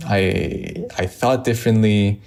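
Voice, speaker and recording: A man from Montreal with a Quebec French accent talks in a deep, low voice. French is his first language, and he muses aloud in a loose, reflective way, often stopping to restart a thought. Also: slow, gravelly, a little mellow. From Montreal, Canada. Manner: loose and musing, many ums and restarts. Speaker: vlogger. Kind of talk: reflective casual talk to camera.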